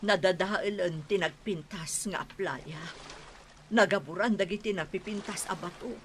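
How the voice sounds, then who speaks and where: A woman's voice: lowish voice, unhurried, a little hiss or hum, close to an everyday voice. A woman playing a grave woman reporting disaster in a radio drama. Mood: dismayed. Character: grave woman reporting storm damage to her mistress.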